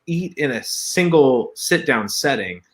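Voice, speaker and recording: A man's voice: mid-range voice, animated, a little slow. A man from Washington State, a YouTuber guest on a podcast, teasing and talkative as he explains at length. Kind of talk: casual chat.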